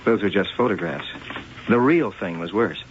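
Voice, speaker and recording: An actor playing a skeptical police lieutenant in a radio drama, questioning in an understated way. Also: male voice, low-pitched, expressive, moderate pace, background noise. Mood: probing. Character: probing, skeptical male police lieutenant on a murder case. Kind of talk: old-time radio drama acting. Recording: dull old radio drama recording.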